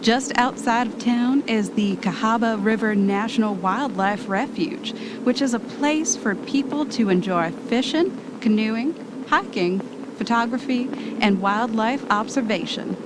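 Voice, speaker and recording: A woman's voice: unhurried, lightly acted. A woman playing an eager, bossy travel podcaster in an amateur audio drama, chatty and ready with an announcer's polish. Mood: polished narrating. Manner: chatty, switches into polished radio voice. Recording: noisy, vehicle rumble behind the voice.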